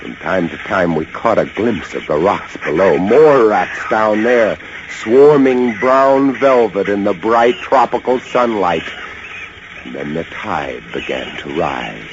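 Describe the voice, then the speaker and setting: A man's voice: sits low, lively intonation, slow pace, full character voice. An actor playing a haunted, intense lighthouse keeper, a man narrating an old-time radio horror story in a brooding, dramatic way. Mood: eerily calm. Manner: dramatic, brooding, swinging from hushed to feverish. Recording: muffled, noisy vintage radio recording.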